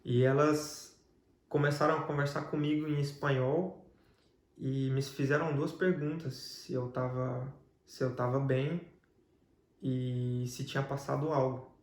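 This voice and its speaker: A man's voice: medium pitch, flat delivery, unhurried, darkish tone. A man with a Brazilian Portuguese accent tells a personal religious testimony as a guest, in conversational storytelling. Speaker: guest telling his life story. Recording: echoey, reverberant room.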